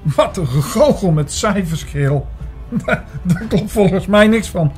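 An actor voices a learned, sceptical man in a radio play, pitched a little high for a male voice. He serves as first-person narrator and conversation partner, with faint music underneath. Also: expressive, a little slow, darkish tone. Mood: exasperated, sceptical. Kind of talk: first-person audio drama narration and dialogue.

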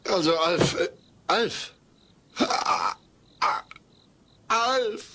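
Flustered, higher-voiced family man. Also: animated, drawn-out words, creaky, sharp tone, exaggerated voice. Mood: nervous. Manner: hesitant, apologetic. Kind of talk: German audio play acting. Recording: slightly noisy old cassette.

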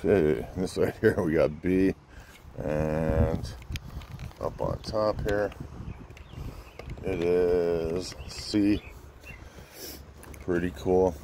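A man's voice: low voice, fairly even intonation, extremely slow, long pauses. A man hosting a casual product showcase vlog, talking in an informal, laid-back, hesitant way with plenty of fillers. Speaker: fireworks channel host. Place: outdoors in a windy spot by a pickup truck bed. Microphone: handheld camera setup with an added mic. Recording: windy outdoor noise. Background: wind.

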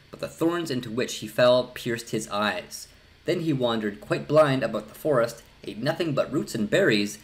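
Male storybook narrator, middle-aged, animated range. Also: mid-range voice. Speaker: storyteller reading a fairy tale aloud. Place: small indoor room.

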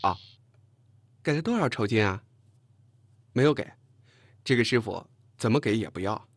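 男演播者演的倔强干脆的男记者，嗓音略沙. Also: male voice, lowish voice, animated, moderate pace, breathy edge, dry recording. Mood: matter-of-fact. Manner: firm, wry. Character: stubborn, gruff young male newspaper reporter. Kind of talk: audiobook character dialogue.

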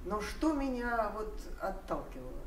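A woman's voice: mid-range voice, sing-song, a little slow. An older woman telling a personal family story at a seminar, rambling with many hesitations and restarts. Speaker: seminar participant sharing memories. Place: seminar room, standing among seated audience. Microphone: far camera. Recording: echoey room, distant mic, noise.

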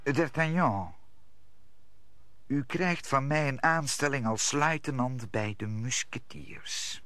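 An actor playing an authoritative cardinal in a radio play, formal and deliberate. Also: male voice, mid-range voice, a bit bright, dry recording. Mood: solemn. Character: authoritative, measured cardinal in power. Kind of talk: Dutch radio play acting.

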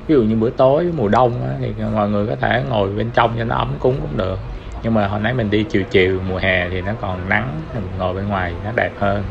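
A man's voice: fairly low pitch, vocal fry. A man chatting casually for a food vlog, easygoing and explaining things to viewers, recorded on a phone outdoors at a restaurant. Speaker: vlogger, husband. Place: outdoor restaurant patio by the ocean. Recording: dull phone audio with crowd noise. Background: restaurant crowd chatter and noise.

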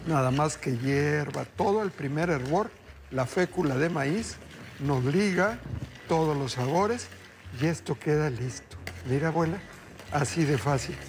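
A man's voice: on the high side, expressive, unhurried, slight background noise. A middle-aged man hosting a TV cooking show, talking viewers through a recipe in upbeat, chatty patter and calling them family. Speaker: TV chef host. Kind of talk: live cooking demonstration. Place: TV studio kitchen. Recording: background music under the voice.

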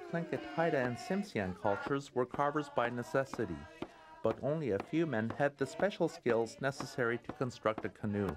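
Male narrator, measured documentary read, noise underneath. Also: medium pitch. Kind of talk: scripted narration.

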